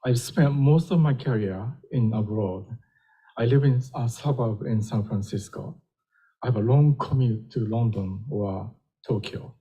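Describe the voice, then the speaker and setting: An older professor from Japan, giving a public lecture in a slow, gentle, wry way with small hesitations. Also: male voice, mid-range voice, husky, poor audio.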